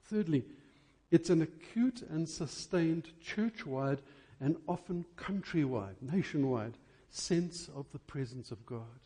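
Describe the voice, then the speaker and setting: A male preacher with a slightly high-pitched voice that is soft-edged and husky. He delivers his church sermon emphatically and dramatically, leaning on deliberate repetition to drive each phrase home. The tone stays mellow even as he stresses his words.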